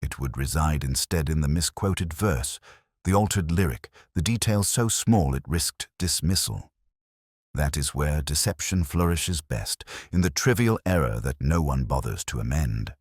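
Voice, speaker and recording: A man playing a brooding, erudite older detective, narrating a radio mystery in a measured, solemn, literary manner. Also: extremely low pitch, animated, a little mellow, slightly rough, no room sound, studio quality, full character voice. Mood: brooding, reflective. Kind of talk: scripted first-person mystery narration, audio drama.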